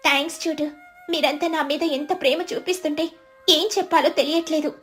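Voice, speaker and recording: Woman as a meek, high-voiced young wife sparrow. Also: steady tone, a little fast, a bit bright, faint music behind. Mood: tearful gratitude.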